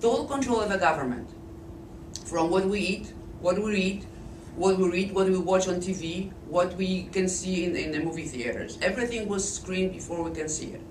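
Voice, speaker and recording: A woman in her fifties from Poland with a Polish accent, hoarse from a cold, telling her life story slowly and deliberately with frequent restarts. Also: fairly low pitch. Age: 50s. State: hoarse, voice lowered by illness. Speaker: interviewee recounting life story. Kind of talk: oral history testimony. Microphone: Flip camcorder at a distance. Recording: echoey, distant camcorder audio with noise.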